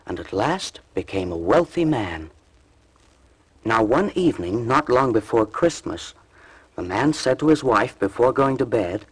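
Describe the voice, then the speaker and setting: Expressive, husky male fairy-tale storyteller. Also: mid-range voice, poor audio. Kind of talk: scripted fairy tale narration for a children's record. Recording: 1972 record, slightly husky sound. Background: orchestral music.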